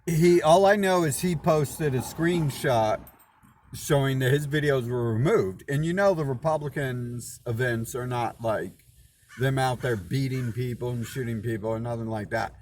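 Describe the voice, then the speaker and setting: A man in an unscripted vlog rant, voice sitting at an ordinary middle pitch. He swears freely, stumbles and repeats words, and sounds suspicious and aggrieved. Faint background noise is under his voice. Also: moderate pace, darkish tone. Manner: profane, repeats words and restarts sentences; indignant, suspicious. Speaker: channel host. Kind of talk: casual rambling monologue.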